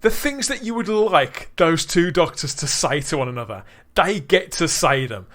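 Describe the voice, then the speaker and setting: A man's voice: on the high side, animated, normal speed, fairly bright tone. A man hosting a podcast, reviewing in casual chat, enthusiastic, with frequent restarts. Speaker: podcast host.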